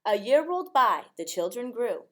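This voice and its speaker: A woman with a fairly high voice reads a children's storybook aloud. Her delivery is expressive and follows the rhyme in a measured, sing-song rhythm, the way a teacher reads to a class. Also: slight background noise. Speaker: teacher reading a picture book aloud.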